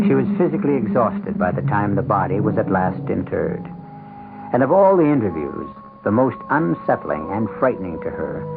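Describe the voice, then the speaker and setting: A low, gravelly man narrates a radio mystery with ominous, theatrical deliberation. The sound is narrow and old, like a phone line, with music playing behind him. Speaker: host and narrator.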